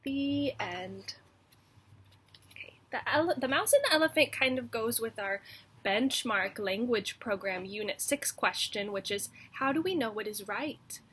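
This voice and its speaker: A woman's voice: on the high side, lively intonation, measured pace, a bit bright, husky. A young woman teacher reading a storybook and giving a lesson to children. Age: young adult. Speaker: elementary school teacher.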